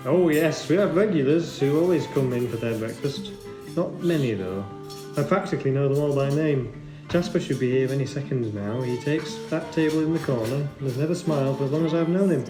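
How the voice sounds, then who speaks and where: A man's voice: mid-range voice, a little fast, close to an everyday voice. A man playing a friendly, polite, chatty café server in an audio drama, in a roomy space. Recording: roomy sound with guitar music.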